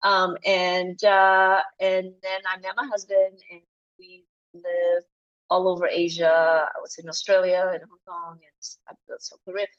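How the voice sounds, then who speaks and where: Malaysian-raised woman guest, low-pitched, lively and halting, dull lo-fi audio. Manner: animated, many fillers and restarts. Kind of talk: casual interview conversation.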